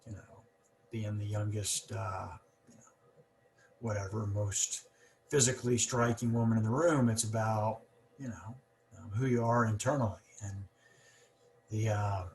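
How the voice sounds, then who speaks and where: A man's voice: lowish voice, steady tone, unhurried, long pauses, a little hiss or hum. A man hosting a casual podcast conversation, talking with a stutter and many repeats and fillers. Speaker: podcast host.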